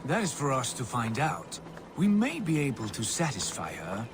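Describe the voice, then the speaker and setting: Lively-voiced Viking leader. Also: male voice, medium pitch, normal speed, noisy background. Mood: wry. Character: confident male Viking leader, wry and composed. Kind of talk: video game character acting.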